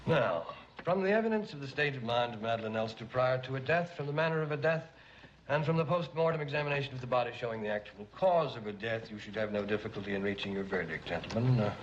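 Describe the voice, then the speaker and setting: An actor in 1950s Hollywood film style, playing a formal coroner who speaks in a measured, judgmental manner. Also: male voice, mid-range voice, lightly acted. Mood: officious. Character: stern, formal coroner addressing a jury. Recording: dull old film soundtrack with noise.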